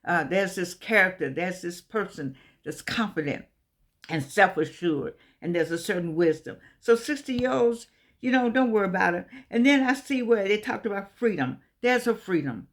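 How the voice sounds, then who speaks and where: A woman's voice: mid-range voice, expressive, moderate pace. Older lady in her 80s, chatty and emphatic, keeps repeating herself, folksy. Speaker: vlogger. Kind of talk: casual motivational talk.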